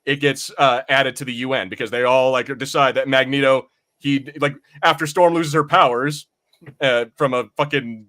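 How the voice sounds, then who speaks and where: A man's voice: mid-range voice, steady tone, moderate pace, fairly bright tone. A man co-hosting a podcast in casual fan review chat, rambling and swearing freely. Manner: profane, rambling. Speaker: podcast co-host.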